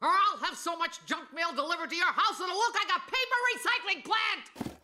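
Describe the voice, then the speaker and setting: A woman's voice: very high-pitched, moderate pace, slight background noise, exaggerated voice. A woman voicing an officious, gullible middle-aged cartoon landlady with an overwrought, emphatic delivery. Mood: outraged. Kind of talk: animated cartoon voice acting. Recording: loud cartoon music behind the voice.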